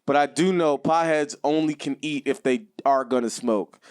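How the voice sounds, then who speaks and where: A man's voice: a little high, moderate pace, clean tone. A man with a Boston accent, co-hosting a casual comedy podcast chat, joking and swearing freely. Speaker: podcast co-host.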